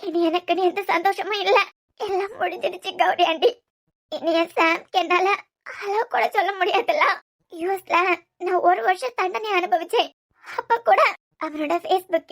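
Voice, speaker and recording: An actress playing a heartbroken young woman in a TV serial, crying and speaking in soft, broken phrases. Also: extremely high pitch. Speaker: heroine in a TV serial. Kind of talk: TV serial acting.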